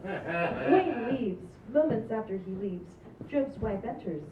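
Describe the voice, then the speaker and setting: A woman with a medium-pitched voice reads stage directions at a table read. She is muffled, with clear noise behind her. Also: animated, slow pace. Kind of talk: scripted narration at live table read. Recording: distant, echoey, muffled, noisy room.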